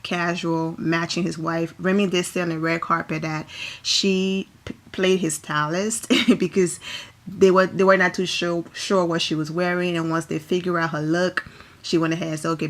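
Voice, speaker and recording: A woman from Liberia with a slightly low voice gives chatty, enthusiastic commentary on red-carpet outfits. She talks casually and apologizes along the way, recorded at home. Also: level tone, normal speed. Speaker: fashion vlogger.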